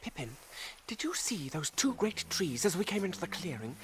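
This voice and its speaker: An actor playing a polite, loyal young halfling traveller in a radio drama, earnest and inquiring. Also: male voice, high voice, sing-song, normal speed, noisy background. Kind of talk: British radio drama acting.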